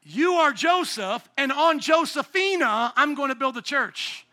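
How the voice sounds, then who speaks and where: A man pastoring a church congregation, speaking with a strikingly high pitch. His tone is sharp and bright, and he preaches with emphasis and humor, often getting the crowd to echo words back. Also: animated, unhurried. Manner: emphatic, calls for congregation responses; playful, jokes at himself. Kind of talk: sermon. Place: church sanctuary.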